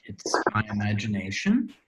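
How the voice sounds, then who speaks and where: Casting: performer for awed, bewildered newly awakened being, halting delivery, wildly animated pitch, readers theater. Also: poor audio. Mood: bewildered, wondering. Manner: halting, wondering. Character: wonderstruck imaginary being, newly able to smell and speak.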